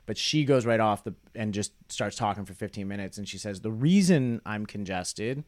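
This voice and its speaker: A man's voice: lowish voice, lively intonation, moderate pace. A young man, a comedian, telling a rambling, wry story in casual podcast chat. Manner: rambling, wry, trails off into quoted asides. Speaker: comedian guest.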